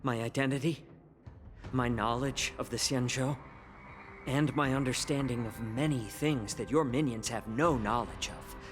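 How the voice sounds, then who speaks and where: An actor playing a shrewd captive envoy in a video game, composed and calculating, with measured, persuasive delivery. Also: male voice, medium pitch, music in the background, natural voice. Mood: calm, calculating. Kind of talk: dramatic video game acting.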